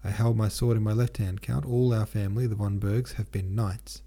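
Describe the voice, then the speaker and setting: A man voicing an eager, self-important male officer in an audiobook, proud and excitable. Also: on the low side, moderate pace, gravelly, breathy edge. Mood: eager boasting.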